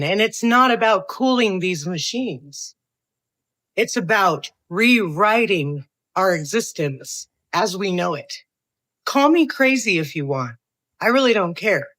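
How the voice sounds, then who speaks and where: A woman delivering an earnest opinion monologue in an emphatic, convinced and deliberate manner. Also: medium pitch, a bit bright, no room sound.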